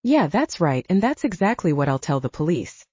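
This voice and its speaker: A woman's voice: low-pitched, sing-song, brisk, warm tone, husky, no room sound, lo-fi sound, exaggerated voice. A woman voicing a smug, scheming male werewolf club owner who taunts in audiobook dialogue. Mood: smug, taunting.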